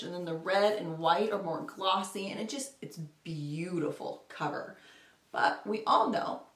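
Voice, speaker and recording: A woman speaks casually to camera as a book vlogger, rambling and correcting herself along the way. Her mid-pitched voice moves up and down with lots of animation. The sound is roomy, with noticeable echo around her words. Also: a bit bright. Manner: chatty, rambling, self-correcting. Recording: roomy, echoey home recording.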